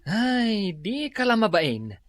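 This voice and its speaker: A woman's voice: medium pitch, big pitch swings, slow, no echo, clean studio sound. A woman with a Filipino accent playing a teasing, scolding woman in a comedic radio drama.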